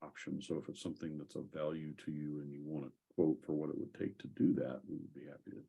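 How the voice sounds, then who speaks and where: A man hosting a casual tech discussion, speaking haltingly as he thinks aloud. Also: a bass voice, completely flat delivery, gravelly, dark tone, husky. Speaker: host of a software community call.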